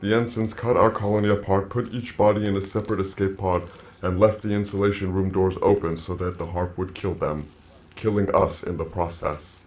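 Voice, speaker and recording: An actor playing a grave alien colony that speaks through a man's body, solemn and measured, in an audio drama. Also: low voice, steady tone, a little mellow, close to an everyday voice. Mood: grave, accusing. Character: ancient alien hive-mind plant colony speaking through a man, grave.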